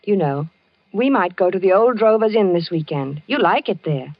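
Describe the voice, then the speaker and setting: An actress playing a patient, worried wife who narrates in a 1940s radio drama, soothing and gently probing. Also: female voice, on the low side, lively intonation, normal speed, background noise. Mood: coaxing. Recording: dull lo-fi old radio broadcast with music.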